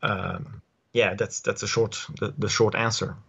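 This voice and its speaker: An Italian-accented man in his forties talks over a video call from his home study, his voice fairly low. He pauses and restarts often, filling gaps with ums. The audio is dull and lo-fi. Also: fairly even intonation, vocal fry, fairly bright tone, breathy edge. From Italy. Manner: halting, many restarts and fillers. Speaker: guest. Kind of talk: casual interview answers. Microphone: earphone headset mic on video call.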